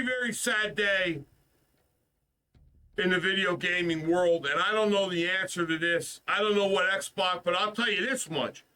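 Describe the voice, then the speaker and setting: Stuffed up guy venting on a gaming stream, high bright voice, swears a lot and repeats himself, sounds a bit far from the mic. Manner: frustrated, profane, repeats himself. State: stuffed up from the flu. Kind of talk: casual ranting stream talk.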